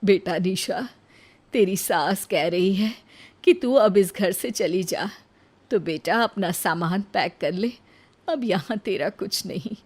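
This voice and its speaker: A woman's voice: mid-range voice, slow, a little mellow, breathy edge. A woman voicing a scheming middle-aged mother in a moral story, bossy and coaxing. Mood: pushy. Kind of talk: animated moral-story acting.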